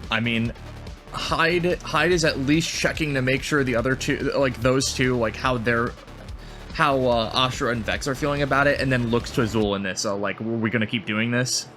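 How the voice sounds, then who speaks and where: A man's voice: mid-range voice, moderate pace, fairly bright tone, faint music behind. A man chatting casually as a player in a tabletop roleplaying game.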